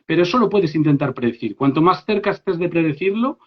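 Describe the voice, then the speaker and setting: A man from Spain with a Spanish accent, giving a lively online workshop on English for business, talking directly to his listeners and checking in with them often. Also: on the high side, fast talker. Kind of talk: informal live workshop talk. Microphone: phone or laptop mic on a live stream. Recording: dull lo-fi live stream audio.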